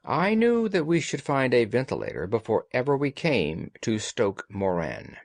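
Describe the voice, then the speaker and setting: Guy doing a storybook detective, commanding and exact, very formal, pitch moves around a lot, dry sound. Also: wildly swooping pitch, moderate pace, dry recording. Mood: confiding, deductive. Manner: precise, authoritative, formal. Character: commanding, analytical gentleman detective. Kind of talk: audiobook character dialogue.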